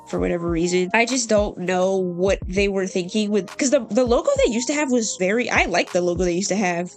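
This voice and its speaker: A woman's voice: mid-range voice, darkish tone. A young woman hosting her channel, sounding congested and under the weather, chatty and blunt as she ranks logos. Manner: chatty, blunt, laughs often. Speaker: channel host. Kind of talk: casual ranking chat.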